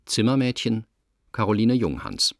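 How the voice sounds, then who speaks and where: A man's voice: lowish voice, big pitch swings, normal speed, vocal fry, dry recording, studio quality. A man announcing the credits of a radio play from a script.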